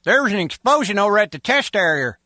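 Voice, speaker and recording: A man's voice: high voice, very animated, fast, sharp tone, no echo, studio quality, heavily acted. A man playing a breezy, colloquial base worker in a video game.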